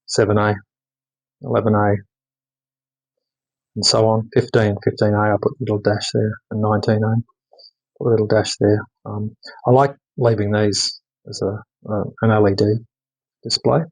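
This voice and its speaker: A man with a fairly low, husky voice talks through a hands-on demo like a hobbyist at the bench. His delivery is casual and unscripted. He moves haltingly from point to point, often letting a thought trail off. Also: darkish tone, poor audio. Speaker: radio hobbyist showing his modified radio.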